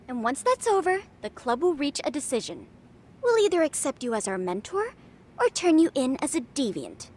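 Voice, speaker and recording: A woman's voice: high voice, very animated, moderate pace, darkish tone. A woman playing a self-important teenage schoolgirl who leads a detective club in a video game dub, with pompous, precise, dramatic phrasing. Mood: stern, calculating. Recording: music under the dialogue. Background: music.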